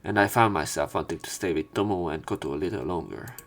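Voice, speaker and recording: A man reading visual novel narration aloud as a let's play commentator, with halting casual asides where he corrects himself. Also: low-pitched.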